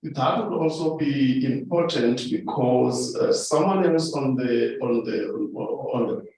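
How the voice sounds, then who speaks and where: A man making an unscripted contribution to a formal discussion, searching for words and repeating phrases in a halting way. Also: medium pitch, darkish tone, slight background noise. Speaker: academic meeting participant. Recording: distant mic in very echoey room.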